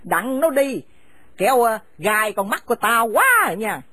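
An actress playing a furious, domineering mother-in-law who shouts insults at her son's wife. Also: fairly high pitch, animated, drawn-out words, husky, no room sound, heavily acted. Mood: scolding. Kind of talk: radio drama acting.

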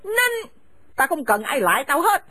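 An actress playing a furious, domineering mother-in-law who shouts insults at her son's wife. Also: high-pitched, very animated, drawn-out words, dry recording, full character voice. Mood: scolding. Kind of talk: radio drama acting.

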